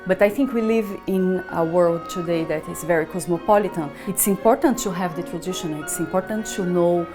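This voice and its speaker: A woman conductor with an Italian-Brazilian accent, speaking thoughtfully in a promotional interview and pausing to search for words. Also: fairly low pitch, music in the background. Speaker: orchestra conductor.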